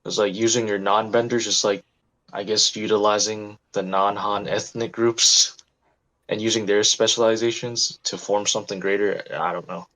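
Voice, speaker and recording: A man from the United States chatting casually in a group discussion, quick and joking, laughing often. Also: on the low side, flat delivery, bright, forceful tone, low-quality recording. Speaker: panel guest.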